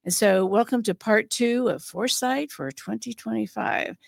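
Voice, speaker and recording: A woman's voice: fairly high pitch, normal speed, gravelly, no echo, studio quality. A woman hosting a spiritual podcast as a psychic medium, talking in a chatty way with frequent fillers and restarts. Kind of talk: casual podcast chat.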